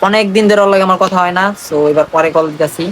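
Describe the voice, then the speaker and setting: A young Bangladeshi man talks at a quick clip in a casual vlog style. His wording is slangy and teasing, and music plays faintly behind him. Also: high voice, fairly bright tone. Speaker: vlogger making prank calls. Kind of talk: casual vlog chat to viewers and on calls.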